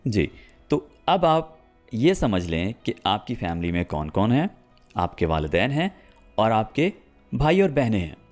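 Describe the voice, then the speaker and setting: Lively low-voiced male English teacher. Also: faint music behind. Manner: explains patiently, slowly repeats example sentences. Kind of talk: spoken English lesson.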